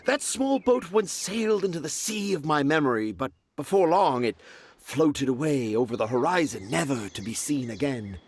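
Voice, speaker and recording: An actor playing a theatrical, self-important children's author in a video game, speaking in flowery, poetic phrases. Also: male voice, fairly high pitch, lively intonation, normal speed, slightly rough. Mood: dreamy, evasive. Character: flamboyant, dreamy, self-important male children's storyteller and father. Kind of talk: video game character acting. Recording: music behind the voice.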